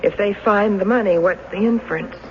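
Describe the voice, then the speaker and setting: An actress playing an anxious, earnest wife in a suspense radio drama. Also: female voice, medium pitch, fairly quick, natural voice. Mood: alarmed. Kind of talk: old-time radio drama acting. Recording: muffled noisy radio drama recording.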